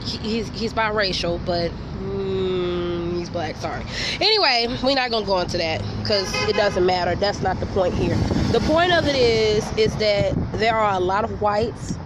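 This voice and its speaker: A woman with a stuffy, nasal voice from a cold speaks straight to camera in a loose, rambling way, often tripping over her words and restarting. She is recorded outdoors, with clear wind and traffic noise around her. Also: fairly high pitch. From Charlotte, North Carolina, USA. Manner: rambling, frequent restarts, grows heated. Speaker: vlogger. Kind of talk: casual opinion vlog. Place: outdoors, near passersby.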